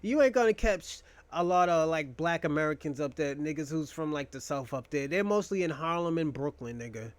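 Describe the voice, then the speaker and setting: A young man with a notably high voice holds forth in a loose, rambling monologue. He jokes crudely and swears freely. The sound is dry and close, with no room echo. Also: lively intonation, brisk, few pauses. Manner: profane, rambling, joking. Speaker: podcast host. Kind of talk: casual monologue.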